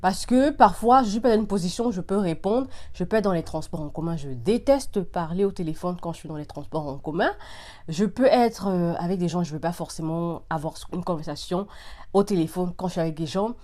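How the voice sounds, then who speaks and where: A woman's voice: fairly low pitch, a little fast. Emphatic woman vlogger chatting to viewers. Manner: animated, emphatic, repeats phrases for insistence; mixes in English slang. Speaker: vlog host giving opinions to viewers. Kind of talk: casual direct-to-viewer chat.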